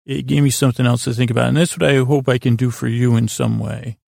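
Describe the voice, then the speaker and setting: A man hosting a bedtime podcast in a gravelly voice, rambling in a halting, stammering, self-deprecating monologue. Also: mid-range voice, flat delivery, darkish tone, dry recording, studio quality. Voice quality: gravelly, creaky. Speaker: podcast host.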